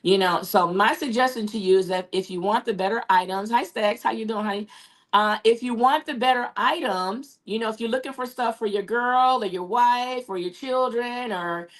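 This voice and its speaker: A woman with a hoarse, raspy voice from losing it, hosting a casual talk show and chatting along with many fillers. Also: fairly high pitch, moderate pace. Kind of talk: casual live show sign-off.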